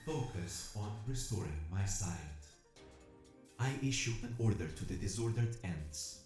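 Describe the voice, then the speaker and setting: A man voicing a damaged, logical robot narrating an audio drama in a measured, formal, clinical and precise manner. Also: lowish voice, animated, roomy sound, over music. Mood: clinical, methodical. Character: damaged military robot awakening, logical, methodical, quietly curious.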